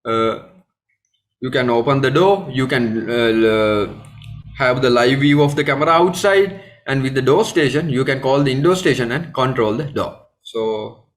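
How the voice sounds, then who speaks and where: A man with a Sri Lankan accent giving a live webinar training as a technical trainer, explaining step by step in halting, repetitive phrases. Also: mid-range voice.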